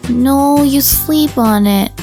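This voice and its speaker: A woman's voice: sits high, takes it slowly, creaky, warm tone, soft background music, full character voice. A woman voicing a wary, protective rescue dog in a visual novel, with a blunt, world-weary manner. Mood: guarded. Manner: blunt, defensive. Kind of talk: character acting in a visual novel.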